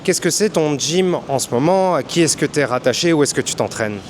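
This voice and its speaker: A podcast host interviewing a fighter in a gym, building long winding questions full of hesitations. His voice sits slightly high, and a low rumble and faint noise hang in the background. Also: expressive, fairly quick. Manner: rambling questions with many fillers. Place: boxing gym.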